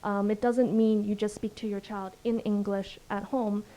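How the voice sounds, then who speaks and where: Woman, from Canada, mellow voice, thoughtful, personal, hesitant ums, panelist. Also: medium pitch, flat delivery, normal speed, poor audio. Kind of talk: panel discussion.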